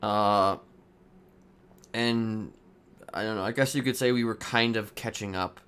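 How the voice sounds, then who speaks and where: Roleplay killer, played by a man: lowish, slow, halting, evasive, oddly polite. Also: steady tone, a bit bright, a little hiss or hum. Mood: halting confession. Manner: halting, stammering, evasive, politely matter-of-fact. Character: soft-spoken, hesitant male serial killer confessing his crimes. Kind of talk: improvised online game roleplay.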